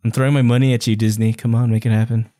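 A man's voice: medium pitch, level tone, rapid-fire, mellow. A man co-hosting a podcast in a casual book chat, informal and joking, with slangy phrasing. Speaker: podcast co-host.

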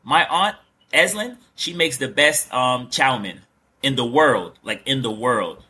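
Bright-voiced joking man. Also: medium pitch, lively intonation, unhurried, breathy edge. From Guyana. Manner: teasing, rambling, joking. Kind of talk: casual chat.

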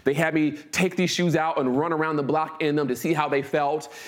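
A man's voice: on the high side, fairly even intonation, brisk. An American man of forty giving a conversational talk, informal and emphatic, repeating phrases and checking in with his listeners. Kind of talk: conversational motivational talk with personal anecdotes.

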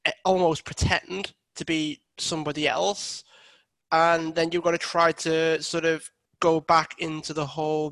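Podcast host, man with a somewhat high voice, asks rambling questions and fills pauses. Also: fairly bright tone, dry recording. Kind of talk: interview questions.

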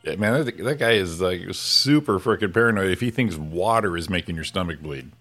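A man's voice: sits low, animated, fairly bright tone, soft background music. A man co-hosting a morning talk show, chatting casually and joking with a guest. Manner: joking, quick to laugh. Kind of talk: casual chat.

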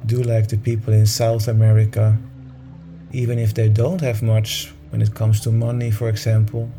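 A man's voice: on the low side, completely flat delivery, normal speed, over music. A man leading a meditation session, talking informally to a group in a soothing, encouraging manner.

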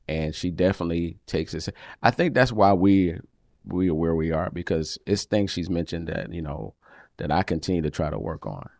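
A man with a deep voice that carries, co-hosting a casual chat with his wife, animated and getting louder when excited. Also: no echo, studio quality. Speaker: podcast co-host. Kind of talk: casual married-couple chat.

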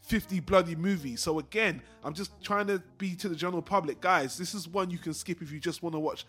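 Male film-show co-host, high register, rapid casual delivery, blunt and opinionated, faint music underneath. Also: fairly bright tone, slightly rough.

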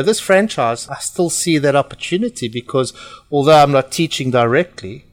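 A middle-aged man with a South African accent and Portuguese roots, talking earnestly as a podcast guest about his work. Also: medium pitch. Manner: earnest, reflective, with fillers. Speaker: podcast guest, franchise owner. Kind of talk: casual interview talk.

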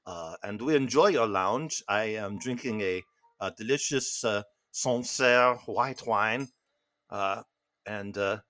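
A man putting on a halting fake-French gent. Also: medium pitch, a bit bright. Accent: put-on French. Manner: halting, constant "uh" fillers, slow and hesitant. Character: pompous fake-French middle-aged gentleman. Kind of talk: improvised comedy bit.

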